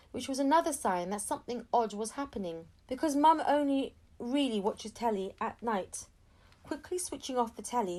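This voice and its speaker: Female audiobook reader, higher-pitched voice, expressive children's storytelling with acted dialogue. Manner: acts out dialogue between narration.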